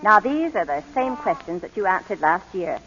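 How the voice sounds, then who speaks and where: A woman's voice: mid-range voice, big pitch swings, moderate pace, background noise. A woman playing a kindly, businesslike adoption official, formal and reassuring, in 1940s radio drama style. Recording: dull, reverberant old radio broadcast.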